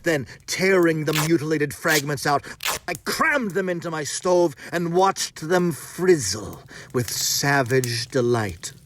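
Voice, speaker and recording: A man narrating a first-person gothic horror story in a measured, theatrical, expressive manner. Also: on the high side, a little hiss or hum. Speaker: audiobook narrator. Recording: music under the voice. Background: music.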